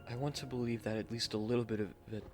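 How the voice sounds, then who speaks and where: Earnest gentleman husband male performer, romantic roleplay drama; soft-spoken, tender, halting, mid-pitched, faint music underneath. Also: steady tone, natural voice. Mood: wistful, earnest. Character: earnest, tender gentleman newlywed, mournful and devoted.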